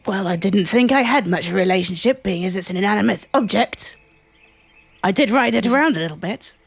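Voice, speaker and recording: Hesitant woman with gravelly voice. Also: medium pitch, expressive, a little fast, dry recording. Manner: hesitant, rambling, playful. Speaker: player.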